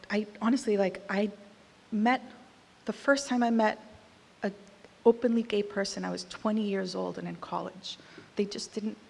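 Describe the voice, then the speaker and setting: A woman's voice: medium pitch, vocal fry. Woman speaking in a reverberant hall. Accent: American. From Costa Rica. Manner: candid, conversational, with some emphatic punches. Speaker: award speaker. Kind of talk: speech at an awards event. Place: large hall.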